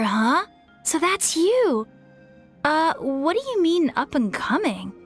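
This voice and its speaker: A woman playing an earnest, self-doubting young girl warrior in training in an anime-style video game, youthful and expressive. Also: fairly high pitch. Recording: music playing behind the voice.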